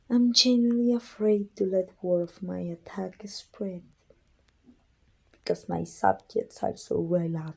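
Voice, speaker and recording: A woman playing a proud, weary evil queen and sorceress in an ASMR roleplay, with a husky, breathy voice and an imperious, deliberate delivery. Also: medium pitch, warm tone, exaggerated voice. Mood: grim, uneasy. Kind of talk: scripted ASMR roleplay acting.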